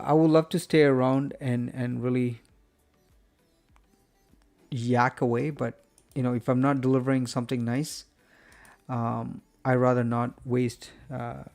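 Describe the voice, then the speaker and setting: A man's voice: mid-range voice, gravelly, darkish tone. A man hosting a live stream from home, wrapping up the show in a rambling, friendly chat full of fillers and restarts. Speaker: host.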